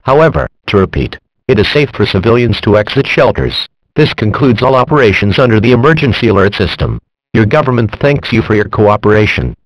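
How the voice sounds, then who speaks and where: A man's voice: lowish voice, lively intonation, fairly quick, no room sound, poor audio. A man voicing an impersonal emergency alert announcer, formal and official, reading a scripted alert.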